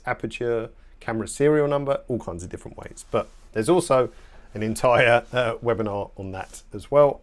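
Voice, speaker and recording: A man in his forties presenting a live software tutorial from a home office, talking in a relaxed, chatty way with frequent fillers, and his voice is slightly worn. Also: fairly low pitch. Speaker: software trainer hosting a webinar. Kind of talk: live screen-share tutorial.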